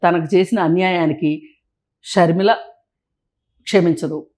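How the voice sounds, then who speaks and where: A woman's voice: lowish voice, fairly even intonation, unhurried, darkish tone. Opinionated woman commentator, colloquial and critical. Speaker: interview guest, social activist and political commentator. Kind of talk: conversational political commentary.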